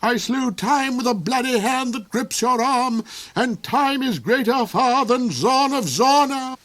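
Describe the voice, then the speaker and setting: A villainous, aggressive duke from a fairy tale. The voice rides high and lurches up and down. He declaims with melodrama, snarling and squealing as he threatens. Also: lively intonation, unhurried, fairly bright tone, exaggerated voice. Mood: snarling contempt.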